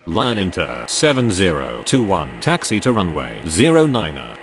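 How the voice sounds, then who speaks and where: A man's voice: low-pitched, wildly swooping pitch, moderate pace, background noise, heavily acted. A man voicing a formal, procedural airport radio operator in a game, using clipped aviation phrases. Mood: businesslike. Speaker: air traffic radio voice.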